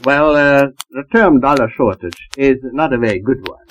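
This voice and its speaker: A man giving measured, emphatic, formal answers as a trade expert in a panel interview, on an old acetate disc recording. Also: medium pitch, animated, slight background noise, low-quality recording.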